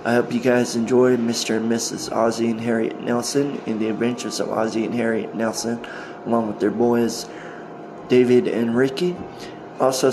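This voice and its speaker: A man hosting a podcast, introducing episodes in a halting, phrase-by-phrase delivery. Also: mid-range voice. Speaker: podcast host introducing old-time radio shows. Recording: home recording with clear background noise.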